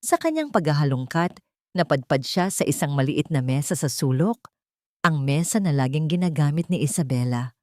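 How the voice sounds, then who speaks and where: A woman narrating a scripted audiobook drama in a steady, dramatic storytelling manner. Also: low-pitched, normal speed, no echo, studio quality.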